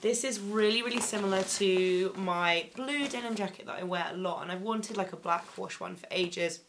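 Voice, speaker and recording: A young woman recovering from laryngitis, her voice still slightly croaky, chatting enthusiastically through a clothing haul in her bedroom, with plenty of ums and likes. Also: medium pitch, normal speed, slight background noise. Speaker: fashion vlogger. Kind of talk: casual haul vlog. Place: bedroom, indoors.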